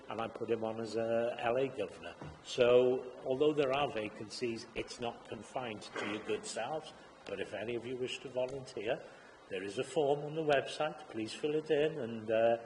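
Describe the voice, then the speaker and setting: A man speaking as a councillor in a council chamber, earnest and full of hesitant uhs. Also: fairly low pitch. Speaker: councillor, executive member for education. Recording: long chamber reverb, faint hum.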